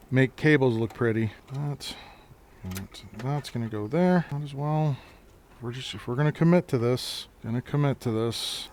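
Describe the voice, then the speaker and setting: Deep-voiced male repair tech, laid-back, chatty stream narration at the bench, mid-pitch. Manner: relaxed, chatty, talks through each step and answers chat. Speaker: computer repair technician. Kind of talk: live-streamed repair walkthrough.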